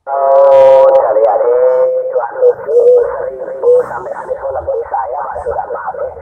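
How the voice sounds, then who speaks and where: A man's voice: medium pitch, steady tone, measured pace, very noisy. A man chatting casually as a radio collector, heard over a CB radio transmission. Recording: muffled narrowband CB radio, music behind.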